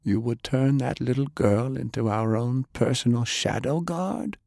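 A man voicing a principled, skeptical older gentleman in an audiobook, speaking in a measured, disapproving way. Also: mid-range voice, creaky, no echo, clean studio sound, pitched above the performer's own voice. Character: principled, skeptical gentleman, elder colleague of the general.

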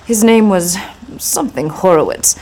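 Female, twenties femme fatale: rich, composed, sultry, dark mellow tone on the lower side, noir radio play. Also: animated, normal speed, slight background noise, rough recording. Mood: poised, cool. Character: cool, wealthy, petite noir femme fatale wife, mid-twenties. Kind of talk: noir audio drama dialogue. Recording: music under the voice.

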